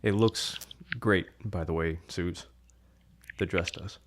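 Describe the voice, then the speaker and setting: A man's voice: sits low, steady tone, takes it slowly, a little hiss or hum. A man playing an earnest, slightly cowardly young mycologist in an audio drama, hesitant in manner. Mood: reassuring.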